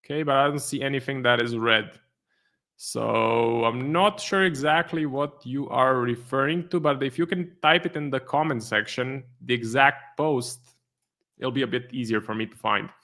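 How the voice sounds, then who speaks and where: A man from Croatia who speaks English with a Croatian accent. He hosts a live trading stream in a relaxed way, reading out viewer questions and answering them as casual commentary. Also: medium pitch, moderate pace. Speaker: trading livestream host.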